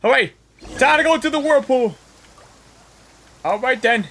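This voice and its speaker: A woman's voice: sits high, lively intonation, unhurried, fairly bright tone. A woman giving casual, informal gameplay reaction commentary, often repeating her phrases. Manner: repetitive, informal. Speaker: gaming reaction video creator.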